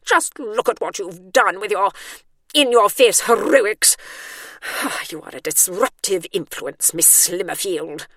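A woman's voice: mid-range voice, slow, a bit bright, no room sound. A woman voicing a haughty, officious male care-home warden who orders everyone about.

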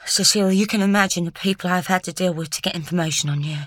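A woman voicing an anguished, volatile young French man who strains and breaks into shouts. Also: on the low side, quick pace, dry recording, studio quality, natural voice. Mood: pressing.

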